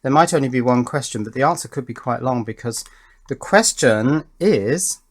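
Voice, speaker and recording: A man's voice: medium pitch, lively intonation, normal speed. A man in his fifties with an English accent, giving casual, rambling commentary as he works, hesitating and questioning himself as he thinks aloud. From England. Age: 50s. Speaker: electronics hobbyist and video maker.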